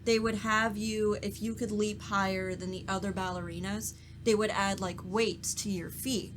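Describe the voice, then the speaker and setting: A woman's mid-pitched voice comes over faint background noise. She is a podcast co-host and voice actor, talking in a loose, conversational way with the group. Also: steady tone, moderate pace. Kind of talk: casual round-table chat.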